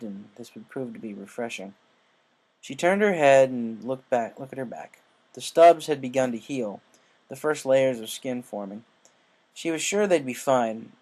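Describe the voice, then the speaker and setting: A man's voice: mid-range voice, darkish tone, low-quality recording. Male narrator, mid-range voice, reading scripted fan fiction. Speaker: audiobook narrator.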